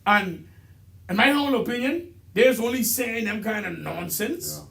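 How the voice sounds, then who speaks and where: A man chatting on a podcast, halting and repeating his words as he makes a serious point. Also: high-pitched, expressive, gravelly, fairly bright tone, distant mic. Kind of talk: casual podcast chat. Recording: roomy echo.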